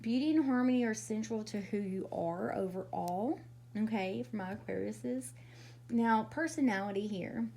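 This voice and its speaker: A woman doing a casual tarot reading, her voice raspy from a cold, chatty and often checking in with "okay?". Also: mid-range voice, moderate pace, mellow. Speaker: tarot reader.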